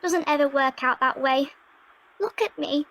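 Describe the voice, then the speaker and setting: Woman acting a high-voiced scornful beggar. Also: unhurried, a bit bright. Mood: bitter scorn. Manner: scornful, blunt. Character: bitter, scornful female Victorian street beggar.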